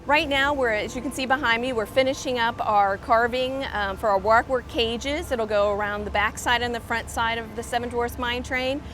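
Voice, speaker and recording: A woman's voice: fairly high pitch, moderate pace, few pauses, fairly bright tone, a little hiss or hum. Woman explaining a worksite outdoors. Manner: informative, with frequent ums. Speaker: construction project spokesperson. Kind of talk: on-site explanation to camera. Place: outdoor construction site.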